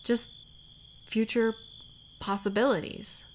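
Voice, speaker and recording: A woman acting as a tender, worried lover of a warrior in an intimate audio roleplay, speaking softly and haltingly, sometimes close to a whisper. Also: on the high side, little pitch movement, a little hiss or hum. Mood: pensive, questioning. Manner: soft, halting, sighing, sometimes near whisper.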